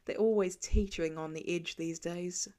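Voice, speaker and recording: A woman narrating a piece of fan fiction as an audiobook, in a steady, gentle storytelling manner. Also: lowish voice, moderate pace. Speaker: podfic reader.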